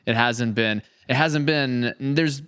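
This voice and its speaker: A man's voice: medium pitch, slow, a bit bright, smooth. A man hosting a podcast in casual conversation, talking in an enthusiastic, rambling and appreciative way. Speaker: podcast host, audio drama creator.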